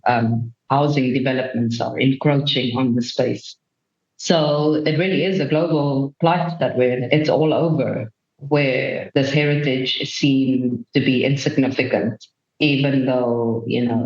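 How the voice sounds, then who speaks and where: A woman's voice: very deep voice, a little mellow. A woman from Namibia, giving earnest, thoughtful answers as an interview guest. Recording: roomy, dull remote recording.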